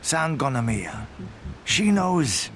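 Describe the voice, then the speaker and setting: A man's voice: medium pitch, sing-song, takes it slowly, fairly bright tone, a little hiss or hum. A man playing a laid-back, sleepy loner soldier in a video game, with a languid, aloof drawl. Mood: drowsy, content. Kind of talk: video game character acting.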